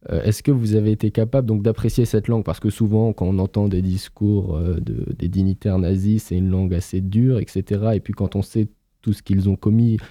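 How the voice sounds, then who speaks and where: A man hosting a radio show, putting measured questions to his guest in the studio. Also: lowish voice, steady tone, warm tone, no room sound. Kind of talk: radio interview.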